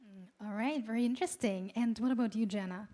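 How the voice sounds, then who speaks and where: A woman's voice: on the high side, brisk, warm tone. A woman hosting a live ceremony talk show, with a polished, upbeat emcee delivery. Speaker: event host and talk show moderator.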